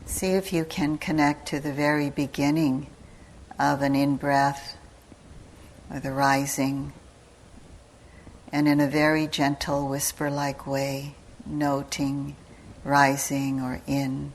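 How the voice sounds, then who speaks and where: A woman teacher giving guided meditation instructions in a calm, measured manner, with long pauses between short phrases. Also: sits low, a little hiss or hum, poor audio. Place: meditation retreat hall.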